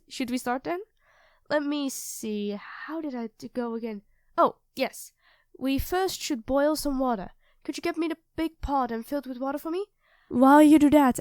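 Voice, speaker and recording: A woman's voice: sits high, moderate pace, no room sound, lightly acted. A woman playing a sweet, self-doubting young girlfriend in an intimate ASMR roleplay, speaking hesitantly and earnestly to her partner. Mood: gentle, thoughtful. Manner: hesitant, soft-spoken, earnest, addressing a partner directly.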